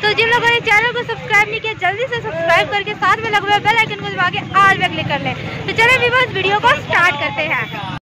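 This is a young woman with a Pakistani accent and a very high voice. She chats effusively in the casual style of a vlog host showing viewers around. A busy crowd and street bustle surround her throughout, picked up on a handheld phone. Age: young adult. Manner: effusive, chatty. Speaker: vlogger, host. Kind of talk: casual chatty market tour. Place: busy outdoor covered bazaar. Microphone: phone at arm's length.

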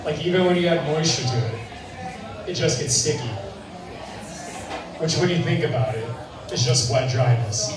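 A young man doing stand-up with a dry, deadpan delivery, his voice sitting a touch high for a man. He is heard from the back of a bar, where the sound rings around the room and an audience laughs and murmurs throughout. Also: moderate pace, pauses often. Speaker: stand-up comedian. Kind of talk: stand-up set. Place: bar stage with a live audience. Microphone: handheld mic, filmed from far in the crowd. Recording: echoey bar sound from far off, crowd laughter.

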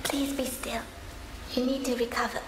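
A woman playing an anxious, gentle young ghost sister in a video game, speaking in a hesitant, solemn way. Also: a little high, level tone, normal speed, background noise, lightly acted. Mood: gentle concern. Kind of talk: video game cutscene acting. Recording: long echoing reverb, loud music behind.

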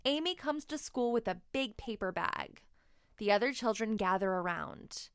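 A woman reading a children's story aloud expressively, with deliberate, emphatic phrasing. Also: mid-range voice, animated, smooth, no room sound, clean studio sound. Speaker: children's book narrator.

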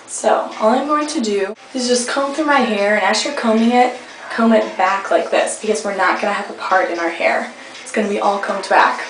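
A young woman with a slightly hoarse voice, chatting casually through a hair tutorial with rambling fillers, recorded on a webcam at home. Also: medium pitch, normal speed, a bit bright, a little hiss or hum. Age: young adult. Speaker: beauty vlogger. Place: indoor room at home. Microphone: webcam at arm's length. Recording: echoey room, faint music behind.

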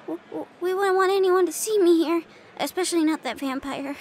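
Very high-pitched, stammering spider girl. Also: a little fast, a little hiss or hum. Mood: nervous. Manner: stammering, flustered. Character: timid, naive, excitable young spider girl. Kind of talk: audio roleplay acting.

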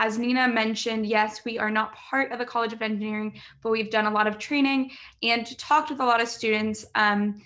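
A woman's voice: on the high side, sharp tone. A young woman from Southern California, a student tour guide talking casually with many fillers over a video call. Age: young adult. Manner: friendly, filler-heavy. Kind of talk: casual presentation.

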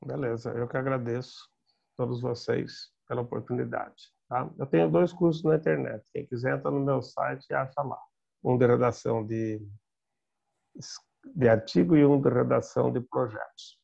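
An older man giving a lively, emphatic seminar talk from an office over a video call, gesturing as he speaks. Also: medium pitch, moderate pace, creaky, husky. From Brazil. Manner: emphatic, conversational, gesturing with hands. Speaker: guest lecturer. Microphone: webcam on video call. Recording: dull lo-fi video call audio.

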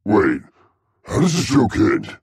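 Video game dragon brute: very raspy, gruff and boastful, pitch swinging wildly from growl to bellow. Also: male voice, huge pitch swings, takes it slowly, a little mellow, no echo, clean studio sound, heavily acted. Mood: gruff grumbling. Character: brutish, hot-tempered male dragon warrior, gruff and boastful. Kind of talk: video game character barks.

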